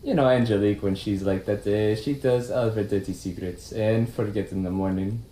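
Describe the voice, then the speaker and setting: A man's voice: fairly low pitch, steady tone, a little fast, mellow, close to an everyday voice. A man playing a defensive, emotional, melodramatic suspect in a puppet show murder mystery. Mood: bitter, accusing. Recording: roomy echo with faint background noise.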